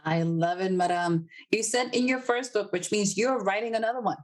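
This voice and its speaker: A woman's voice: mid-range voice, normal speed. Effusive woman podcast host. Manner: effusive, encouraging. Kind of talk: interview.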